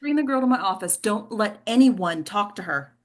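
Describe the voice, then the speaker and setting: A woman playing a frantic, foul-mouthed, domineering presidential aide with a sharp-tongued, commanding delivery in a comedy play reading. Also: medium pitch, lively intonation, normal speed, lightly acted. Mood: panicked, snappish. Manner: sharp-tongued, commanding, stammers under pressure. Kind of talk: comedy play table read.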